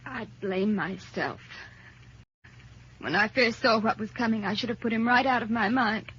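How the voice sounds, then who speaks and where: A high, very husky voice an earnest young wife in an old radio melodrama. She pleads in a theatrical, anguished romantic manner. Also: moderate pace, fairly bright tone. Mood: torn, anguished. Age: young adult. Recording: dull old radio recording.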